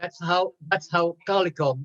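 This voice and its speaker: A man's voice: sits high, moderate pace, fairly bright tone, lo-fi sound. A man working as an interviewer in a casual conversation.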